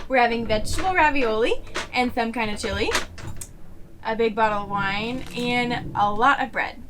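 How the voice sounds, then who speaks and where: A woman's voice: a little high, moderate pace, background noise. A woman cheerfully showing the features of a small sleeper train cabin in a casual vlog. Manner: cheerful show-and-tell. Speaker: travel vlogger. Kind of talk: casual tour narration.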